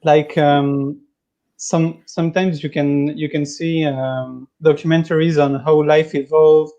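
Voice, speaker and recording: A man with a French accent calling into a livestream, hesitant and full of pauses as he asks his question. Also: a little high, level tone, warm tone, muffled recording. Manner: hesitant, many ums and pauses. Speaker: caller. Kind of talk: casual call-in question.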